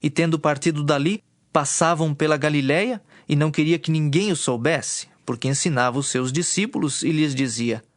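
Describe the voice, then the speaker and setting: A man's voice: on the high side, moderate pace, hardly any pauses, no echo, studio quality. A man with a Brazilian accent narrating a dramatized scripture in a scripted storytelling style.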